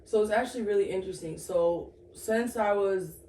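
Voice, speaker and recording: A woman in her thirties, born in Sudan and raised in the Twin Cities, telling her story as a guest in a casual interview, reflective and halting. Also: mid-range voice, warm tone, far from the mic. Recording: echoey room, off-mic, faint noise.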